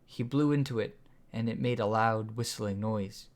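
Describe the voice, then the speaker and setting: A man's voice: fairly low pitch, moderate pace. A man reading his own story as scripted audiobook narration.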